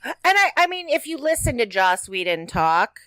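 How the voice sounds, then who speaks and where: A woman's voice: a little high, sing-song, normal speed, a bit bright, dry recording. Woman chatting in a close, dry recording. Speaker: podcast co-host. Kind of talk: casual panel discussion of a TV show.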